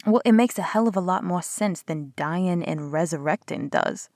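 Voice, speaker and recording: A woman's voice: lowish voice, moderate pace, a little mellow, no echo, clean studio sound. A young woman performing a one-sided audio roleplay as a skeptical investigator, arguing and sarcastic, sometimes dropping to a whisper. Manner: skeptical, argumentative, sarcastic, swears casually.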